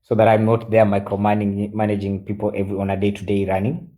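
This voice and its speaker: A man in his forties, chatting on a live stream as a guest, halting with many fillers and switching into Swahili. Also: on the low side, monotone, warm tone. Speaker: guest mentor. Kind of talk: casual live-stream chat.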